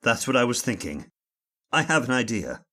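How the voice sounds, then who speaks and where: Resolute master of the hunt, male actor: mid-pitched, lively intonation, commanding, vintage radio drama. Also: normal speed, a little hiss or hum. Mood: calm, probing. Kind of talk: old-time radio drama acting.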